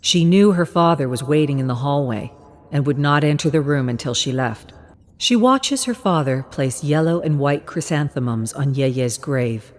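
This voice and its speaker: A woman's voice: low-pitched, expressive, moderate pace. A woman narrator reading a scripted story.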